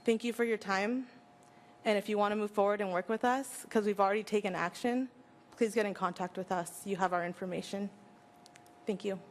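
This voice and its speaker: A woman's voice: medium pitch, fairly even intonation, fairly quick, slight background noise. A woman giving a public comment as an activist, making an appeal to a meeting.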